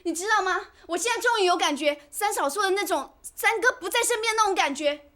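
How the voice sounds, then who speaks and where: A woman's voice: fairly high pitch, brisk, breathy edge. A woman acting in a TV drama as an aggrieved, confrontational young wife and mother, with a Taiwanese accent. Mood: upset, indignant. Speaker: actress in a family drama.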